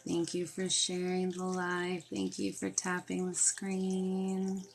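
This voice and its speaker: A woman's voice: on the low side, monotone, takes it slowly, vocal fry, slight background noise. A woman hosting a healing live stream from her phone, sniffly from a sinus infection, chatting casually and greeting viewers by name. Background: desk fountain and quiet music.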